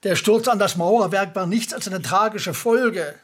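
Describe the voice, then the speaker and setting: Male town doctor, period radio drama; highish husky voice, discreet, measured, persuasive. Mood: placating, firm. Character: discreet, placating 18th-century town doctor.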